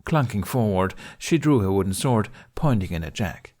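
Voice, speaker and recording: Low-voiced man narrating a story. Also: lively intonation, moderate pace, a little mellow. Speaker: fan fiction podcast narrator.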